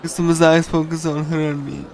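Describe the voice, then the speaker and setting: A man reading a visual novel aloud on a casual livestream, in a halting, pieced-together way. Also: on the high side, flat delivery, creaky, darkish tone, poor audio. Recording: music behind the voice. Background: game music.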